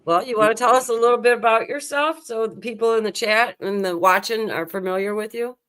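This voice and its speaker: A woman's voice: on the high side, fairly quick, fairly bright tone. A woman hosting a casual live stream, talking in a chatty, informal way. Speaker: show host.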